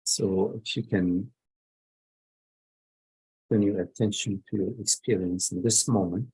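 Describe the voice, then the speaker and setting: Male meditation teacher, very husky voice, slow delivery, short phrases, long pauses, guided meditation. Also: lowish voice, steady tone, darkish tone, poor audio.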